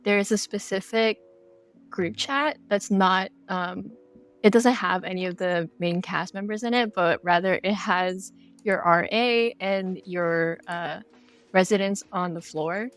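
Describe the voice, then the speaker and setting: A woman's voice: medium pitch, a little slow. A woman speaking as a guest game developer in a casual podcast interview, explaining things conversationally.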